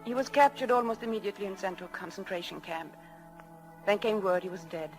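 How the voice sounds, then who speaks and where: An anguished young wife played by an actress in a 1940s radio drama. She pleads in a breathy, hesitant way, torn between two loves. Her voice sits at a medium pitch, in an old broadcast recording. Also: fast talker, faint music behind, lightly acted. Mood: tearful confession.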